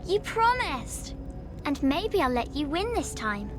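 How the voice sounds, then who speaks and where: A woman's voice: high-pitched, big pitch swings, takes it slowly, music in the background, heavily acted. A woman playing an eager, pleading young child in a video game.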